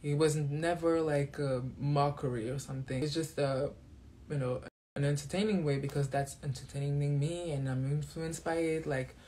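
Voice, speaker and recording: A man's voice: a little high, fairly even intonation, moderate pace, warm tone, slight background noise. A man from Belgium, a native French speaker talking in English, giving a sassy, defiant monologue to his viewers. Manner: sassy, defiant, throws shade. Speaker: vlogger.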